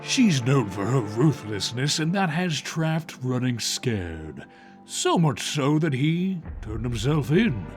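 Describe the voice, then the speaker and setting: Grave, authoritative police chief. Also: male voice, medium pitch, very animated, soft background music. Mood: serious, businesslike. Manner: grave, deliberate briefing delivery. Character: authoritative male police chief, serious and measured. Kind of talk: audio drama acting.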